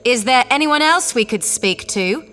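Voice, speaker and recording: Posh breezy space wife, high bright voice, lively, long reverb, music behind, as a woman plays her. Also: normal speed. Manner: plummy, breezy. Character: posh cheerful spacefaring wife, brisk and unflappable. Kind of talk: audio drama acting.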